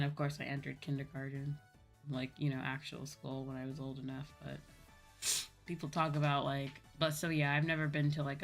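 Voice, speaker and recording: Young adult female streamer, American, low register. Casual, rambling, unscripted chat over background music. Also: creaky, a bit bright. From United States. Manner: rambling, informal, self-correcting. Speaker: livestreamer, virtual YouTuber. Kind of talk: casual chat with live viewers. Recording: music playing behind the voice.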